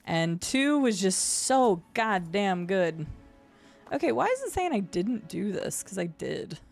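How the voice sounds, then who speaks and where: Gravelly-voiced young woman streamer. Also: sing-song, takes it slowly. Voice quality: gravelly with deep vocal fry. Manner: chatty, swears and laughs at the game. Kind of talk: casual live-stream chat while gaming. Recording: faint game music behind.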